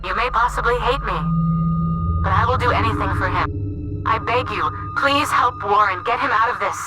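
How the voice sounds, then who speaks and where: A woman's voice: a little high, moderate pace, heavy background noise, lightly acted. An actress playing a tough but evasive female spaceship pilot in a video game cutscene, blunt and earnest as she justifies herself. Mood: confessing, pleading. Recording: loud music over the voice.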